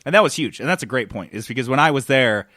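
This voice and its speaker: A man with a medium-pitched voice hosts a casual gaming podcast. He talks in an explanatory way, slipping into quotes as he makes his points, and the sound is dry with no room echo. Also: lively intonation, quick pace, sharp tone. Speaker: podcast host, games journalist.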